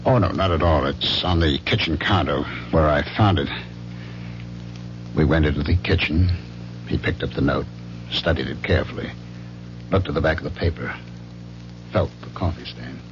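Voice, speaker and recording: A man's voice: a bass voice, normal speed, long pauses. An actor playing a guarded husband who tells his own story tersely in a 1970s radio mystery. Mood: tense narration. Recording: muffled, noisy 1970s radio recording with hum.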